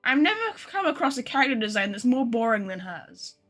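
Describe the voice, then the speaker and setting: A woman with a bright, fairly high voice streams a game, with music playing behind her. She chats informally to her viewers and thinks out loud as she goes. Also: big pitch swings, a little fast. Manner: chatty, informal, thinks aloud. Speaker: game livestreamer. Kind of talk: casual livestream chat with viewers.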